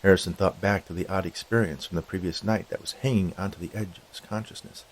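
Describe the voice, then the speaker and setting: A man's voice: deep voice, steady tone, fast talker, poor audio. A man reading a comic Halloween story aloud as a scripted narrator.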